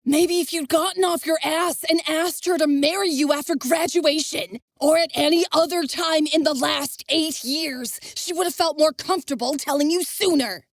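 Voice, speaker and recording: A woman's voice: medium pitch, fairly even intonation, normal speed, a little mellow, dry recording, close to an everyday voice. Hot-tempered brother who snaps at others, as a woman reads him. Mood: raging. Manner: snapping, raging. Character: hot-tempered young man, protective brother.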